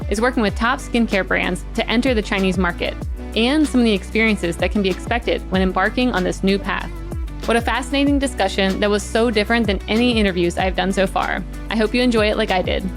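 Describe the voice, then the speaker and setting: A woman hosts a business podcast. She is upbeat and friendly and talks conversationally, even when she reads a prepared intro. Her voice sits in a middle range. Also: mid-range voice. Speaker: podcast host. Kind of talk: scripted intro and casual interview chat.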